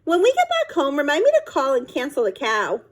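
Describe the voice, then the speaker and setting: A woman's voice: sits high, wildly swooping pitch, a little fast, voice pushed up. A woman voicing a mischievous young girl in a storybook, innocent and eager to explain herself. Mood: playful.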